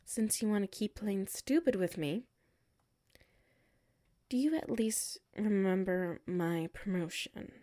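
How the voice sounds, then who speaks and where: A woman's voice: medium pitch, slow pace, pauses often, mellow, lightly acted. Woman acting an exasperated tsundere office coworker. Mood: annoyed. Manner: exasperated, emphatic, dropping into sulky murmurs. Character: hurt, defensive tsundere female office coworker with a crush. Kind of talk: roleplay audio acting, one side of a conversation.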